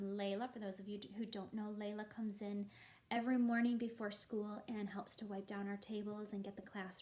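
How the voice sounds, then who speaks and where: A woman, a primary school teacher, chatting to young pupils in an encouraging way. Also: mid-range voice. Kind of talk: read-aloud and chat to young pupils. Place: at home.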